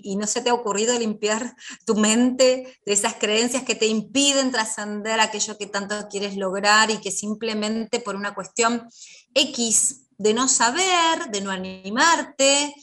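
Woman host with a higher voice. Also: fairly bright tone. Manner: long, winding reflective remarks. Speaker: interviewer host. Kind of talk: interview commentary.

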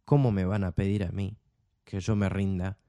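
A man's voice: sits low, little pitch movement, normal speed, dark tone, no room sound, studio quality. A young man with an Argentine accent, hosting his own program and speaking in an earnest, impassioned monologue full of repeated emphatic phrases. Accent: Argentine Spanish. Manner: earnest, repetitive rhetorical emphasis. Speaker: program host.

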